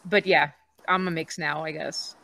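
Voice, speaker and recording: A woman's voice: sits low, fairly even intonation, moderate pace, creaky, bright, forceful tone. A woman from the Czech Republic, a podcast guest in casual conversation, who often stops and restarts her phrases.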